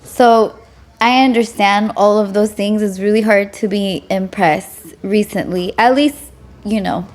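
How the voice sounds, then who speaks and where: A woman from Mexico, a native Spanish speaker, hosting a casual podcast and chatting in a frank, talkative way. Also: medium pitch. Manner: chatty, frank, quick to agree and laugh. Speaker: podcast host.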